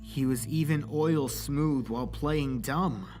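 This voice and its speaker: A man narrates a dramatic scripted story in a medium-pitched voice. He acts as storyteller for an audio drama, with faint music beneath his words. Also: slow pace.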